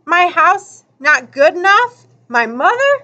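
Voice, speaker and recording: A woman voicing a defensive, streetwise, gruffly friendly boy in a storybook, talking in clipped, challenging, colloquial phrases. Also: very high voice, sing-song, slow pace, pushed and bright, voice pushed up, full character voice. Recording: dull lo-fi audio.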